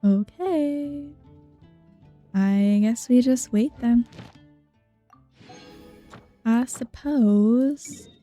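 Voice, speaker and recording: Girl streaming a game, super mellow and slow, kinda confused little reactions, music behind. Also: fairly high pitch. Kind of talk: casual playthrough commentary. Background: game music and game dialogue.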